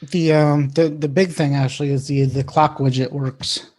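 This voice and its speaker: A man's voice: a little high, steady tone, moderate pace, a little mellow. A man co-hosting a casual tech chat in an informal, loosely phrased way. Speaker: podcast co-host.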